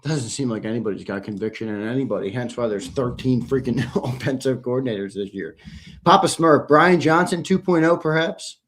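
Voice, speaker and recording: A man's voice: mid-range voice, animated, brisk. A man co-hosting a sports talk show who keeps pushing his partner with questions and challenges. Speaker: co-host.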